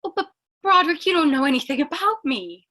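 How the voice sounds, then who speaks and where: Woman doing a high bright voiced radio play dame, plucky, coy, acting all prim and dignified. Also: big pitch swings, fairly quick, full character voice. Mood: prim, earnest. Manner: theatrical, coy, mock-dignified. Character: plucky woman posing as a ladylike gang boss.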